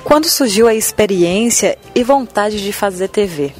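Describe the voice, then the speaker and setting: A woman interviewer asks short, direct questions at a mid pitch. Faint music plays beneath her voice. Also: lively intonation, moderate pace, a little mellow.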